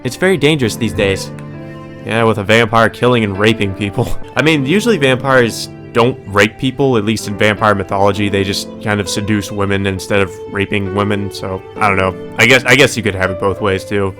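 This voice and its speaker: A man's voice: lowish voice, brisk, few pauses. A man reading a visual novel aloud as a let's player, mixing story narration with casual commentary. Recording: music under the voice.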